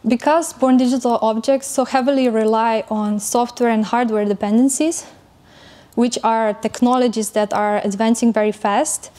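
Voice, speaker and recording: A woman giving an academic conference presentation in English, speaking carefully with frequent pauses and fillers. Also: a little high, dark tone. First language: not German.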